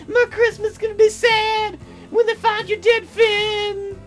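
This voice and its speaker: A man putting on an over-the-top mock-mournful wail, taunting in a drawn-out, shrill singsong. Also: flat delivery, a bit bright, soft background music, exaggerated voice. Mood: mock grief. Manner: shrill, wailing, drawn-out delivery.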